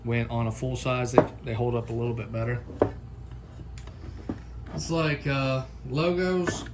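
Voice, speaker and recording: A man's voice: mid-range voice, steady tone, measured pace, heavy background noise. A man talking informally and off the cuff, like a product reviewer chatting to viewers in a homemade video. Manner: informal, folksy, unscripted. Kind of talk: casual unboxing and comparison vlog.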